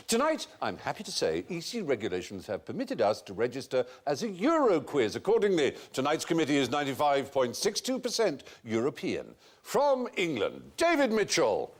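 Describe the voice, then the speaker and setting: Playful quiz host, lively voice. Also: male voice, on the high side, normal speed. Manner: playful, theatrical, slips into mock foreign phrases. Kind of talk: comic quiz hosting. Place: TV studio with a live audience. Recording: loud studio audience laughter and noise.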